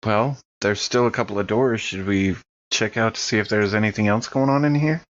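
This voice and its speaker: A deep-voiced man taking part in a tabletop game. He talks casually and informally, describing his character's moves as he thinks of them. The audio is a little dull and lo-fi. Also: a little fast. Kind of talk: casual in-character roleplay chat.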